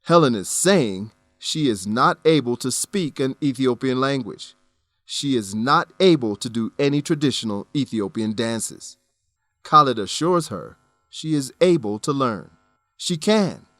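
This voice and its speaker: A man hosting an English lesson, reading scripted explanations with careful, deliberate enunciation. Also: medium pitch, lively intonation, fairly bright tone, no echo. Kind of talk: scripted educational narration.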